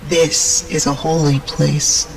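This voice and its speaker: A woman's voice: low-pitched, flat delivery, slow pace, creaky, slight background noise, full character voice, rough recording. A woman playing an imperious masked shrine guardian in a video game, solemn and zealous, speaking in grandiose incantations. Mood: stern, probing. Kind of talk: video game cutscene acting.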